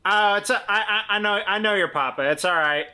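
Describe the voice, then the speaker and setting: A man playing a tabletop game casually, improvising in a halting, self-correcting way. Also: high voice, very noisy.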